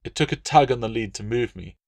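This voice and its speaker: A man reading scripted first-person novel narration as an audiobook, in a measured, dramatic storytelling manner. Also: mid-range voice, gravelly, a little mellow, dry recording.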